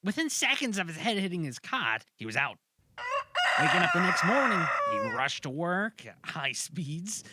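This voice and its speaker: Casting: male storyteller for scripted fantasy narration, slightly high pitch, lively swings in intonation. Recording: clearly audible background noise.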